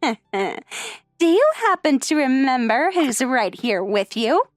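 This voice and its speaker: A woman playing a poised, sheltered high school girl in an anime-style comic dub, speaking with composed, polite earnestness. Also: very animated, takes it slowly, no echo, studio quality, faint music behind, heavily acted. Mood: cool, matter-of-fact.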